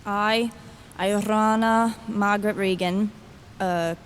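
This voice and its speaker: Actress doing a blunt irreverent thief queen, audio drama, sardonic, bit of rumbly noise behind. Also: fairly even intonation, slow, close to an everyday voice. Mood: flustered. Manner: casual, blunt, sardonic modern talk. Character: brash, irreverent thief turned reluctant young queen.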